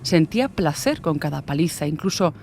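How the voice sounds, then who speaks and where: A man's voice: on the high side, animated, moderate pace, darkish tone, slight background noise. A man reading a scripted true-crime narration as the storyteller.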